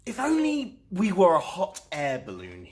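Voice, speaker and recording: A man voicing a good-hearted bus driver who craves adventure, in expressive storybook dialogue. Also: high voice, a little slow, voice pushed up, heavily acted. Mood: wistful.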